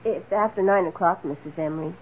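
An actress playing a deferential, matter-of-fact housemaid in an old-time radio drama, polite and reserved. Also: female voice, fairly low pitch, fast talker, slightly rough. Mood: dutiful. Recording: muffled lo-fi radio drama recording.

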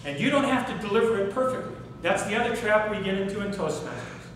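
Middle-aged man, workshop speaker, high bright voice, animated, echoey conference room, far from the camera. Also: fast, a little hiss or hum. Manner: animated, gestures, asks audience questions. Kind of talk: public speaking workshop talk. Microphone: far camera, no mic seen.

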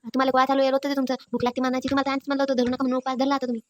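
A woman's voice: high voice, level tone, close to an everyday voice. A woman voicing a nagging, exasperated village wife in a cartoon comedy, scolding with a rural Maharashtrian accent. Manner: rapid-fire scolding. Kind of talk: amateur cartoon comedy dialogue.

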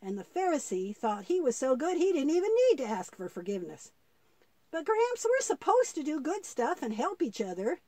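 A woman's voice: sits high, big pitch swings, normal speed, mellow, no echo. A woman voicing an eager, good-hearted young boy puppet who thinks aloud artlessly in a children's puppet show. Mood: earnest realization.

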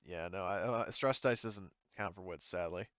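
A man's voice: low-pitched, moderate pace, a bit bright, lo-fi sound. A man speaking informally as game master, chatting through a tabletop session in a loose, hesitant way. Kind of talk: casual tabletop game chat.

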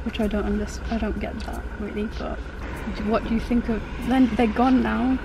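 A woman's voice: on the high side, fairly even intonation, normal speed, gravelly. A young British woman chatting casually to a vlog camera inside a car, in an informal, rambling, easily flustered way. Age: young adult. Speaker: vlogger. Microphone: camera resting on the dashboard. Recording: car noise in the background. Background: car and road noise.

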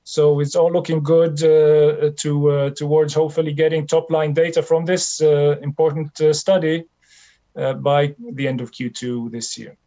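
A man in his forties giving a measured, halting slide presentation as a company executive on an online panel. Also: on the high side, flat delivery, warm tone. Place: home office with bookshelves, indoors. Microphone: video call computer microphone. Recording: slightly dull video-call audio.

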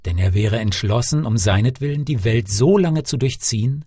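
A man's voice: medium pitch, animated, normal speed, warm tone, slightly rough, no room sound, studio quality. A man reading a fairy tale as an audiobook narrator, theatrical and carefully articulated in his delivery.

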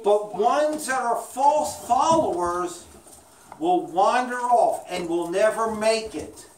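Woman, children's Bible teacher, unhurried delivery, repeated questions, roomy distant camera sound, some background noise. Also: mid-range voice, animated, darkish tone. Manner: repeats questions and phrases slowly. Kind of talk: question-and-answer lesson to young children. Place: small indoor classroom. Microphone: far camera.

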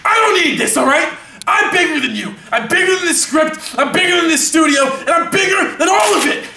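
Man acting a vain washed-up movie star, high-voiced. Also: animated, normal speed, echoey room, distant mic, background noise. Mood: enraged. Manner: pompous, indignant, self-important. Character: vain, explosive washed-up male movie actor. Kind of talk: mockumentary comedy acting.

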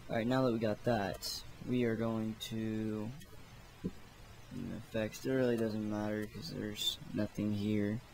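Slow, gravelly man casually walking through software. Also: lowish voice, little pitch movement, a little hiss or hum, low-quality recording. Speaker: tutorial host. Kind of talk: casual software tutorial narration.

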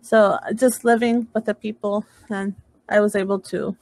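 A woman's voice: medium pitch, level tone, normal speed, a little mellow, no echo. Texan woman tutoring over a headset. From United States, Texas. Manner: encouraging, asks follow-up questions. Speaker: English tutor. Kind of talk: casual conversation lesson. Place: room at home, indoors. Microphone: headset on video call.

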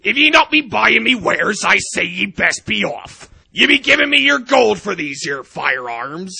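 A man's voice: high-pitched, sing-song, unhurried, creaky, pushed and bright, husky, heavily acted. A man voicing a cocky, drunken pirate merchant in pirate slang for a comic video game parody. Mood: teasing, boastful. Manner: pirate slang, growled arrs.

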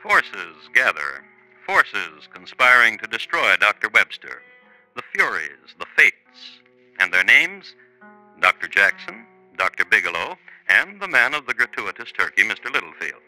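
Wry, sharp-voiced vintage radio crime narrator. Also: male voice, lowish voice, big pitch swings, exaggerated voice, low-quality recording. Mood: ominous. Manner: wry, theatrical, deliberate. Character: wry, ominous male narrator of true crime tales. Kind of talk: 1950s radio drama narration. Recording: vintage 1950s radio, faint music.

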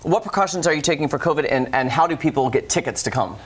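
A man co-hosting a morning TV show, asking questions in a brisk, chatty way in a studio. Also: fairly high pitch, animated, breathy edge. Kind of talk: casual TV interview. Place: TV studio set.

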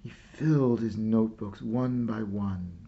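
A man reading a children's picture book aloud expressively, with dramatic pauses and hushed phrase endings. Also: fairly low pitch, creaky, lo-fi sound, a little hiss or hum. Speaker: storybook reader. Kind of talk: scripted narration, picture book read-aloud.

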